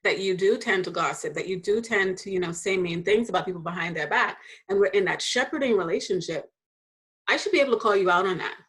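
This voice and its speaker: A woman hosting a casual faith conversation. She talks in a lively way, laughs often, and jumps into high voices when quoting people. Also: fast talker. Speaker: host.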